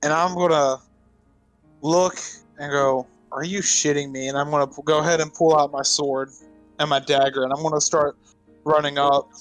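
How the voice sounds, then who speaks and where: A man's voice: a little high, steady tone, moderate pace, low-quality recording. A man playing a tabletop game, casually narrating his character's actions in the first person. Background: faint music.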